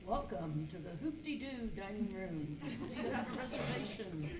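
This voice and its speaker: Polite cowgirl servant, female performer, animated intonation, amateur radio skit, distant and echoey with clear background noise. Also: medium pitch, moderate pace, lightly acted. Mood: polite, welcoming. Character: polite eager cowgirl dining room hostess and housekeeper. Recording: noisy echoing room, distant mic.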